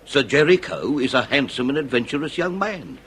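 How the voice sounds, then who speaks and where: A man's voice: mid-range voice, very animated, a little fast, a bit bright, a little hiss or hum. A man acting a shrewd, relentless Victorian police inspector in a period radio drama, with formal, declamatory delivery. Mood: measured, accusing. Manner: formal, declamatory, theatrical interrogating. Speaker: actor.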